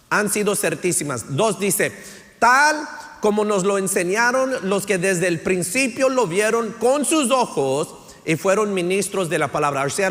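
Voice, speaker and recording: A man's voice: high voice, a bit bright. A man, preaching a sermon as pastor, mixing in English words and asking "right?" to check in with his listeners. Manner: switches between Spanish and English, says "right?" often; preaching with rising emphasis, tells stories. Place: church sanctuary. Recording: church hall reverb.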